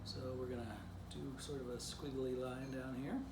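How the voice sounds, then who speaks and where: A man's voice: mid-range voice, flat delivery, normal speed. Male children's presenter, informal, simple delivery, room echo, noticeable background noise. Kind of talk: children's story reading and casual drawing lesson.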